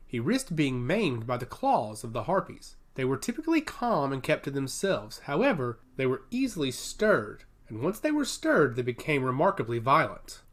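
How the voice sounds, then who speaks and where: A man reading a scripted fantasy story aloud as its narrator. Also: mid-range voice.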